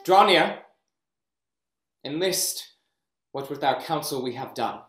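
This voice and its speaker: A man's voice: on the high side, expressive, slow, a bit bright, faint music behind. A man playing a proud young king passing judgment, speaking Shakespeare's verse in a regal, stately way over a webcam. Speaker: actor. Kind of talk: staged Shakespeare reading. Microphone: webcam on a video call. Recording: echoey room.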